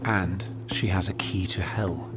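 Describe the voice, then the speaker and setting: A serious fantasy game adventurer. His voice sits fairly low and his delivery is terse and subdued, with loud music underneath. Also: fairly even intonation, measured pace. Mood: informative. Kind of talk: video game dialogue acting.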